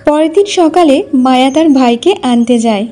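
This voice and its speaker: A woman's voice: sits high, moderate pace, music in the background. A woman narrating a scripted cartoon story as the storyteller.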